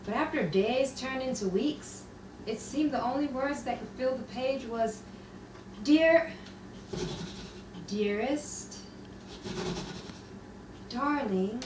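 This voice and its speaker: An actress playing a caring woman confidante in a nostalgic radio drama, speaking in a measured, theatrical way. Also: on the high side, expressive. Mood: rueful, reflective. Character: wry, caring female confidante, regretful letter writer. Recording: roomy, distant, noisy live stage recording.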